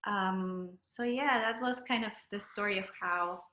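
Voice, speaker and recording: A woman from Peru with a Peruvian Spanish accent, speaking casually and haltingly as she searches for words. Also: medium pitch, pushed and bright, a little hiss or hum. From Lima, Peru. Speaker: interview guest, dancer and choreographer. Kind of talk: casual conversational interview. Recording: roomy echo.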